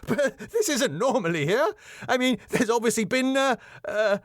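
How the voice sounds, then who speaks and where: A man's voice: extremely high pitch, animated, moderate pace, dry recording, studio quality, voice pushed up. A man voicing a flustered club owner in a sitcom, stammering, hesitant and eager to please. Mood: panicked. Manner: stammering, hesitant, over-apologetic. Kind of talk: sitcom script read aloud.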